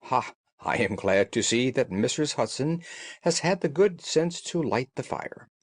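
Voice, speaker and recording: A man voicing a brisk, observant gentleman detective in a storybook, courteous, precise and assured. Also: medium pitch, expressive, slightly rough, no echo. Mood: cheerful, courteous. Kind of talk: audiobook character dialogue.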